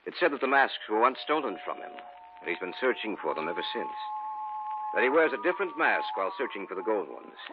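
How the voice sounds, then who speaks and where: An actor playing a grave male friend recounting a sinister legend in measured tones, in an old radio play. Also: on the low side, big pitch swings. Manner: measured, ominous storytelling. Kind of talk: 1940s radio acting. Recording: muffled old radio broadcast with music.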